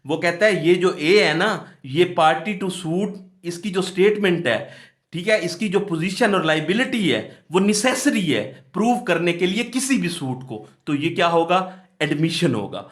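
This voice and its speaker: A man's voice: sits high, a bit bright. A man with a Pakistani accent giving a lecture, reading out formal text and explaining it step by step with repeated phrases. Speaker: law lecturer. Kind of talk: exam-focused lecture, reading statutes aloud and explaining with examples. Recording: echoey room.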